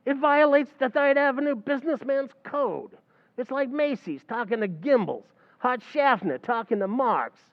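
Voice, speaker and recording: An actor playing a scheming, wisecracking tavern manager in a 1940s-style radio comedy. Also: male voice, extremely high pitch, lively intonation, moderate pace, dull audio. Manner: wisecracking, mangles grammar. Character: fast-talking scheming male tavern manager.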